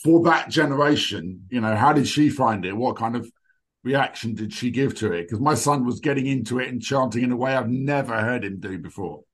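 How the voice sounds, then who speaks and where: A man from England in casual football chat, measured and putting questions to the others. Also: mid-range voice.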